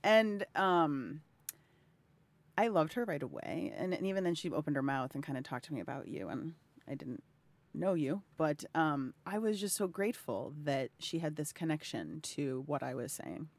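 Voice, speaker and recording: A woman's voice: sits low, animated, normal speed. Woman, thirties, interviewer, effusive, emotionally open, heartfelt conversation. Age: 30s. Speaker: interviewer and health advocate.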